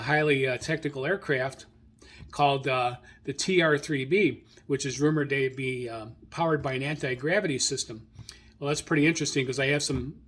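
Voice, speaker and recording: A middle-aged or older American man hosting an informal radio hobby monologue, rambling haltingly and repeating phrases. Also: on the high side, a bit bright. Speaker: radio hobby show host.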